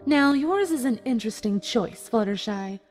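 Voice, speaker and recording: A woman voicing a cruel female villain pony in a dramatic fan fiction reading, deliberate and mockingly sympathetic. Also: a little high, lively intonation, darkish tone, lightly acted. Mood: commanding. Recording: music behind the voice.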